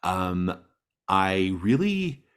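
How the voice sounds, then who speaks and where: Low-voiced man hosting a game. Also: big pitch swings, very slow, fairly bright tone, clean tone. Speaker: game master, host. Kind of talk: casual explaining and debrief of a tabletop game.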